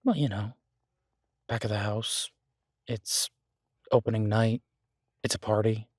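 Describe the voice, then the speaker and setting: A man playing a shy, devoted chef boyfriend in an intimate audio roleplay, speaking in a halting, self-deprecating, affectionate way. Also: on the low side, fairly even intonation, a little mellow, dry recording, studio quality. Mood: tender. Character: Beau, warm, shy, flustered male chef and devoted boyfriend.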